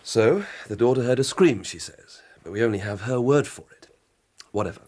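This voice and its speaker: An actor playing an earnest, proud police detective in a radio drama, formal and methodical, with a dramatic way of telling things. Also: male voice, mid-range voice, animated, moderate pace, darkish tone, lightly acted. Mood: grave, dramatic. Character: earnest, proud male police detective reporting a grisly murder.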